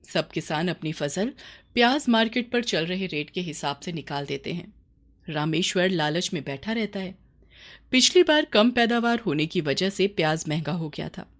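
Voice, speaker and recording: A woman narrating a scripted moral story for children as its storyteller. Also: mid-range voice.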